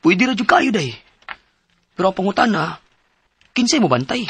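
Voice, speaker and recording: A man with a Cebuano Filipino accent acting an eager, trusting husband in a radio drama, animated and exclamatory. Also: high voice, normal speed, slightly rough, full character voice. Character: eager, trusting husband and father, small investor.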